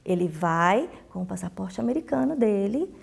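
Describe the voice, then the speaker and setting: A woman's voice: medium pitch, sing-song, normal speed, vocal fry, slightly rough. A woman with a Brazilian Portuguese accent explaining rules emphatically as a consular official, in informal interview answers with acted-out anecdotes. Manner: emphatic, repeats key points, acts out dialogues.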